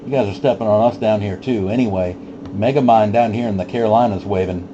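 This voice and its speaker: A man's voice: lowish voice, fairly quick. A man talking casually and laid-back in CB radio lingo, as an operator hosting a channel night. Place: home base radio station. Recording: noisy, dull CB radio audio with static hum.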